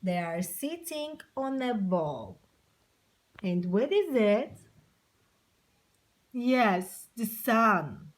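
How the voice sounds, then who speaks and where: A woman storyteller teaching young children, telling a simple story in short, deliberate sentences and asking questions. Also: mid-range voice, big pitch swings.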